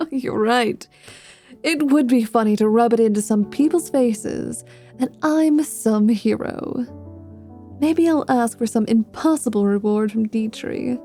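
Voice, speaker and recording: A woman's voice: a little high, slow pace, warm tone, faint music behind. A woman playing a wry, foul-mouthed adventurer and reluctant hero in an intimate ASMR fantasy roleplay. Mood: amused.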